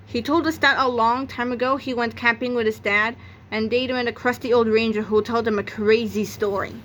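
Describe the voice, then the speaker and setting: A woman's voice: on the high side, steady tone, moderate pace, fairly bright tone, slight background noise. A woman narrating a read-aloud of a children's comic novel, acting out its exclamations and sound effects. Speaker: book narrator.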